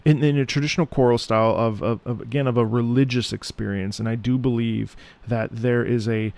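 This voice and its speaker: Reflective man with a creaky voice. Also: mid-range voice, fairly even intonation, darkish tone, no room sound. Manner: musing, halting, searching for words. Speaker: reactor, music commentator and opera singer. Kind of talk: reaction commentary, analytical and reflective.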